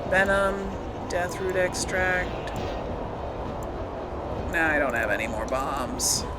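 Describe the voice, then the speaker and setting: A woman's voice: on the low side, animated, slow. A woman casually commentating on her video game playthrough, chatting and thinking aloud as she plays. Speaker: gamer narrating a playthrough. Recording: loud game noise behind voice. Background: video game battle sounds.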